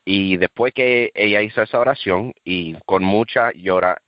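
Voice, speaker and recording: A man who grew up in the United States, with English as his first language, tells his testimony on a podcast call, halting and restarting often. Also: lowish voice, no room sound, muffled recording. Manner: halting, with many restarts and fillers. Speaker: guest giving a testimony. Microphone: call audio.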